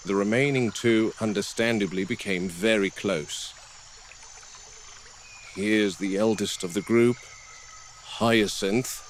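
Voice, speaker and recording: A man playing a grave, observant game warden, narrating a scripted field log in a measured, dry, reflective way. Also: on the low side, slight background noise. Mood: concerned, reflective.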